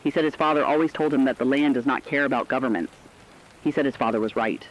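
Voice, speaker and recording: A man reading a scripted human-interest news report in a plain, measured storytelling manner. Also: medium pitch, highs cut off. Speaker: radio feature reporter.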